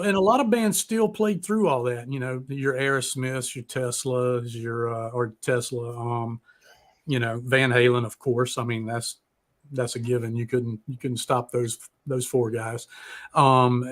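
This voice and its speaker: A man hosting a casual music talk show, rambling and hesitating as he goes. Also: medium pitch. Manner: rambling, hesitant, filler-heavy phrasing. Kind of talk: casual, unscripted chat.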